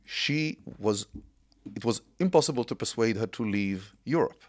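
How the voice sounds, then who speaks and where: A man in his sixties from Israel, a podcast guest telling his family history in an unhurried way with many pauses. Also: fairly low pitch, lively intonation. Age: 60s. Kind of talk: casual interview chat.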